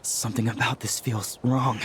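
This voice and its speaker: An actor playing a hesitant, worried experimenter and uneasy accomplice in a video game. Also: male voice, medium pitch, steady tone, moderate pace, noisy background, natural voice. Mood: worried, uncertain. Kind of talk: dramatic video game voice acting.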